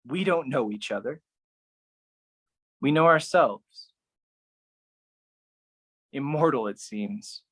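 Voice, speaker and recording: A solo performer delivering a poetic monologue in a theatrical, deliberate way, with dramatic pauses and repetition. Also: mid-range voice.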